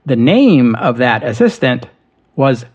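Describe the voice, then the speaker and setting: A man narrating history as a podcast host, in a measured, steady storytelling delivery. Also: mid-range voice, husky, highs cut off.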